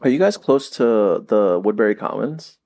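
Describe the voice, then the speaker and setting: A man's voice: on the low side, expressive, normal speed, mellow. A man in his thirties co-hosting a podcast, chatting casually and asking a lot of questions. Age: 30s. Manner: curious, keeps asking questions. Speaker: podcast co-host. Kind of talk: casual chat.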